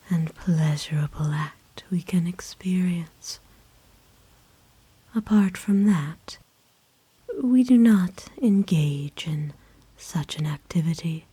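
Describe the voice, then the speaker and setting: A woman voicing a kind, doting aristocratic vampire in an ASMR roleplay, speaking formally and tenderly in a soft, breathy whisper. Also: lowish voice, dry recording, full character voice. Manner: formal, genteel, tender, unhurried. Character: kind, doting aristocratic female vampire owner, gently possessive.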